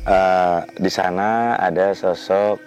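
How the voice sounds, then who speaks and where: A man acting as local guide, explaining local lore in a measured, polite way outdoors at night. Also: sits low, fairly even intonation, darkish tone.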